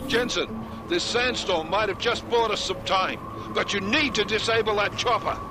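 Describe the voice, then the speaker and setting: Game casting: man as brisk, authoritative ops leader, high-pitched and lively, loud music behind. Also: close to an everyday voice. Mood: alarmed, urgent. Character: tense male field operations leader briefing his squad. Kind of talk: video game voice acting.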